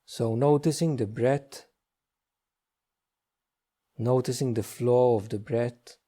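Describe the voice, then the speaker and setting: A man leading a guided meditation as a teacher, speaking gently and slowly with long pauses. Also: mid-range voice. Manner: slow, hushed, gentle delivery with long pauses.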